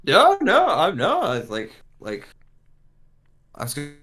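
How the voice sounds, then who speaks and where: A man chatting casually as a guest, playful and jokey, talking in halting bursts. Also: fairly high pitch, huge pitch swings, pushed and bright. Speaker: guest voice actor and writer. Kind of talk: casual chat.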